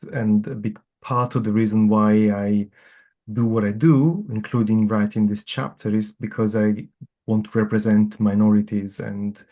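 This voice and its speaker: Non-native English-speaking man, guest author, mellow lowish voice, measured and earnest. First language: not English. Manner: measured, thoughtful, gently earnest. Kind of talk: reflective interview answers.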